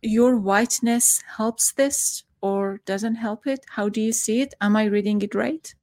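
A woman interviewing an author in a friendly conversation, affectionate in tone and quick to laugh. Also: mid-range voice, steady tone. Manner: affectionate and warm, laughing as she speaks.

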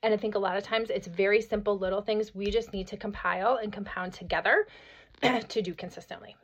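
A woman in her thirties or forties hosting a motivational podcast, hoarse from a cold, talking energetically and often asking "right?". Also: mid-range voice, brisk. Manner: energetic, chatty, peppered with "right?" and "like". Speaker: podcast host. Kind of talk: motivational solo podcast talk. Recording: slightly dull lo-fi audio.

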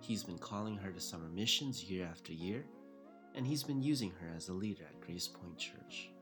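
A man reads a scripted podcast introduction in a measured delivery. His voice is very deep yet moves a lot in pitch, and clear music plays under him. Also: huge pitch swings. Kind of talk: scripted narration.

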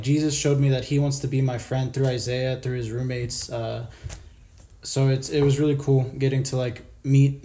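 A young man born in Argentina telling his faith story in a relaxed, casual way, with lots of "like" and "you know". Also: mid-range voice. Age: young adult. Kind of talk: casual testimony sharing.

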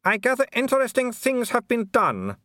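A man's voice: very high voice, wildly swooping pitch, moderate pace, bright, forceful tone, no room sound, studio quality, voice pushed up, heavily acted. A man voicing a haughty, falsely sweet aristocratic baroness in a storybook reading, with prim, icily polite speech.

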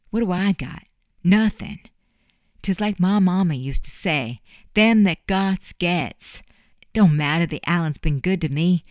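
A woman's voice: lowish voice, a little mellow, dry recording, clean studio sound, voice pushed up. A woman voicing a proud young servant woman who speaks in folksy dialect, in an audiobook. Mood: impassioned. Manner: impassioned, folksy dialect speech. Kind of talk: audiobook character voice.

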